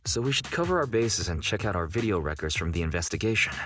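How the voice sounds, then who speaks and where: An actor playing a calm, measured young male manager in a game. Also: low-pitched, faint music behind.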